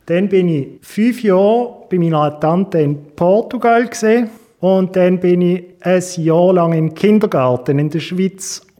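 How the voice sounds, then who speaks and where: A man's voice: high-pitched, unhurried, warm tone. A man, a guest giving plain, matter-of-fact answers in a casual interview. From Switzerland. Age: 20s.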